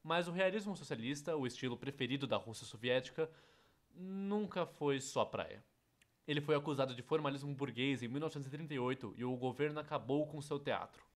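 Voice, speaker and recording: Man, Brazilian accent, medium pitch, scripted narration, educational presenter. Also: animated, fairly quick. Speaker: educational presenter dubbing a theatre history course.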